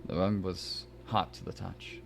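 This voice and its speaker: A man's voice: deep voice, flat delivery, measured pace, creaky, noisy background. A man hosting a stream, chatting casually and narrating a visual novel aloud over music. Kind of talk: casual chat and expressive narration of a story. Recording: music behind the voice. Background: background music from the game.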